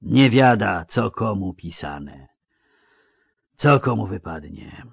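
A weathered old dwarf with a rustic, old-fashioned turn of phrase, as a male audiobook reader puts him on, his voice climbing and dipping in a lively sing-song. The sound is close and dry, with no room around it. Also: fairly low pitch, unhurried, dry recording, pitched above the performer's own voice, full character voice. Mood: quiet. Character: gruff old male dwarf, folksy and fatalistic. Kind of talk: audiobook character voice.

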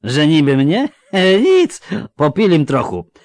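A tipsy, bragging village lad voiced by a male reader, pitched high and loud, his rustic dialect slurring as he boasts. The reading is dry and close to the mic. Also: huge pitch swings, slow, dry recording, full character voice. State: drunk. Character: drunk young male country lad, boastful and crude.

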